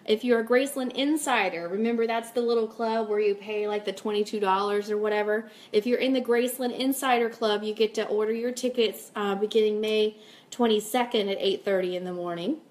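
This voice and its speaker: A woman's voice: medium pitch, steady tone, fairly quick, a little mellow. A woman hosting a casual live stream, chatting informally and upbeat as she reads out comments and announcements. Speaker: host of a fan radio live stream.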